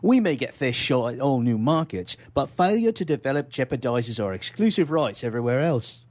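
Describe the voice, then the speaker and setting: A man's voice: mid-range voice, lively intonation, normal speed, darkish tone, pitched above the performer's own voice, close to an everyday voice. A man voicing an irritable, exasperated chief executive in a satirical short story read aloud for radio. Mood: irritated. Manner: tense, irritable. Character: Lucifer, dangerously calm, exasperated male corporate chief executive.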